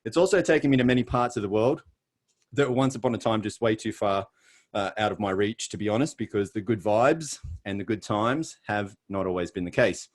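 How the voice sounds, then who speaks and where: A man's voice: fairly low pitch, brisk. A middle-aged Australian man presenting on a video call, chatty and jokey with a self-deprecating streak. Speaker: guest presenter. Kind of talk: webinar presentation.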